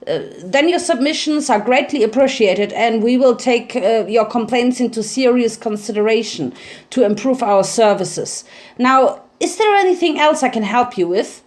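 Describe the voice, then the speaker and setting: A woman's voice: on the high side, moderate pace, darkish tone, natural voice. A woman voicing a slightly frightened yet indifferent government clerk pony, hesitant and bureaucratic, in a story reading. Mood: indifferent, official.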